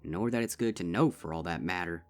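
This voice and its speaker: A man's voice: sits low, fairly quick, darkish tone. A man voicing a stern, protective older-sister farm pony with a drawl. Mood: solemn. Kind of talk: fanfic audiobook reading.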